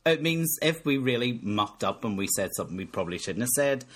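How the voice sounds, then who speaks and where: A man's voice: mid-range voice, very animated, a little fast. A man in his thirties with a Northern Irish accent, chatting casually as a podcast co-host with jokey, self-mocking banter. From Northern Ireland. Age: 30s. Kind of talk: casual chat.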